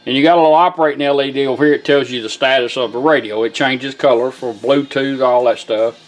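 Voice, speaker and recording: A man with a Southern US accent giving a casual, plain-spoken, unhurried product demonstration as a radio restorer. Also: medium pitch, steady tone, faint music behind, poor audio.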